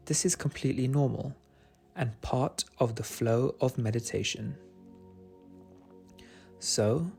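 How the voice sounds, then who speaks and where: A man guiding a meditation in a soothing, gentle and unhurried manner. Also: mid-range voice, creaky. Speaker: meditation guide. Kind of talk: guided meditation narration. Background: soft meditation music.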